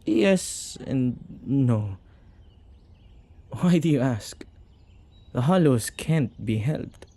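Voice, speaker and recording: A man playing a witch who keeps company with ghosts, excitable and caring, in a conversational ASMR audio roleplay with a husky, gravelly voice, speaking closely to the listener. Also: medium pitch. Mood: troubled, grave.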